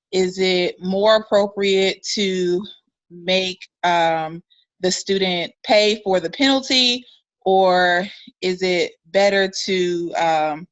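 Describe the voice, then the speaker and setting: A woman presents to her class over a video call, speaking deliberately and hesitantly, with many "um" pauses. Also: on the low side, fairly bright tone. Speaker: student presenter. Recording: muffled video call audio.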